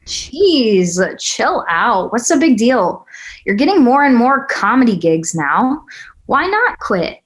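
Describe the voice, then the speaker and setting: A woman's voice: a little high, very animated, takes it slowly. A woman playing a blunt, teasing, eager young girlfriend with a brash manner in a screenplay table read. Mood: exasperated, goading. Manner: brash, foul-mouthed banter.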